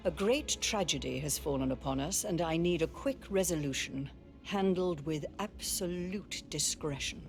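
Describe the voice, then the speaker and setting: An actress playing a stern older lady of a wealthy household, formal and measured, in a video game. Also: low-pitched, soft background music. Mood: grave, brisk. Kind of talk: video game cutscene acting.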